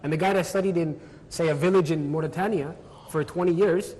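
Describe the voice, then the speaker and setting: Fast-talking man on a discussion panel. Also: a little high, husky, in a large hall, rough recording. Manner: fast, animated, draws others in with tag questions.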